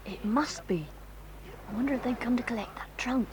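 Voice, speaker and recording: Woman doing a plucky teen boy, fairly high voice, whispering urgent and quiet, old audio drama tape. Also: moderate pace, background noise, natural voice. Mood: hushed. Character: brave sensible teenage boy, eldest of the group. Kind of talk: 1980s radio-style audio drama.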